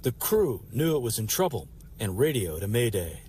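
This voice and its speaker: A man's voice: medium pitch, big pitch swings, moderate pace, a little mellow, husky. A man narrating a scripted television news package as a reporter.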